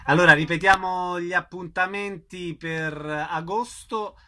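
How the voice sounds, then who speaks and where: A man hosting a radio interview, polite and brisk as he sums up and wraps up. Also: high voice, fairly even intonation, bright, forceful tone. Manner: brisk, courteous, wraps up segments. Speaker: radio host.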